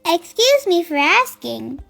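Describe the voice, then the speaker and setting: A woman's voice: very high-pitched, big pitch swings, takes it slowly, vocal fry, fairly bright tone, soft background music, full character voice. A woman voicing a young lost storybook fish who is polite, anxious and earnestly questioning. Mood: puzzled, polite.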